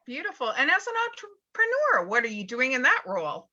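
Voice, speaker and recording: Woman, live show host, high voice, very animated, upbeat, direct questions, lo-fi stream audio. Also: fairly quick. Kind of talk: live interview hosting.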